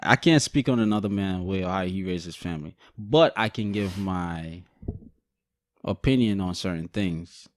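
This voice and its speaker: A man's voice: sits low, moderate pace, dry recording, studio quality. Blunt, joking young podcast man. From Guyana. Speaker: podcast co-host. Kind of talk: casual chat.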